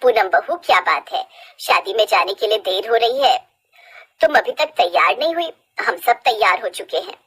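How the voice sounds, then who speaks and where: Casting: woman as older Indian-household mother-in-law for cartoon story, authoritative and scolding yet fair, pitched down. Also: animated, fairly bright tone, slight background noise, natural voice. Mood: impatient. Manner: measured, admonishing, authoritative.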